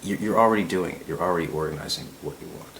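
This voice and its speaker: Guy with a super deep voice thinking out loud, keeps saying right?, faint hiss behind. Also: rapid-fire, vocal fry, rough recording. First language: English. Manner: musing, repeats words, frequent tags of "right?". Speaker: self-help video host. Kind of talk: casual monologue to camera.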